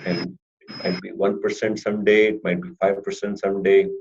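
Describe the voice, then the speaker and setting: A man giving a testimonial as a therapy client, speaking deliberately, with a mild stutter of repeated words and brief blocks. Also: low-pitched, flat delivery, vocal fry, darkish tone. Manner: measured, deliberate delivery. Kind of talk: interview answers. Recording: dull lo-fi recording with faint hiss.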